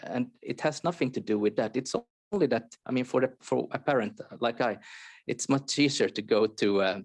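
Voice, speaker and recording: A man on a conference panel explaining calmly, with frequent hesitations and restarts. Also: mid-range voice, normal speed, husky, dry recording.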